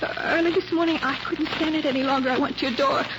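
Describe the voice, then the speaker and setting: A woman's voice: high-pitched, steady tone, fast talker, muffled recording, very noisy, natural voice. A woman playing a fragile, terrified young woman in an old radio melodrama, pleading in anguish on a vintage recording. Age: young adult. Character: frightened, fragile twenty-year-old woman near madness. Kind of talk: 1940s radio melodrama acting.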